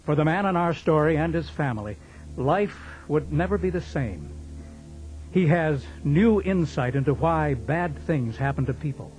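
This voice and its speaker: A man's voice: fairly high pitch, a little mellow, poor audio. A man with an American accent reading scripted narration as the announcer of a radio drama.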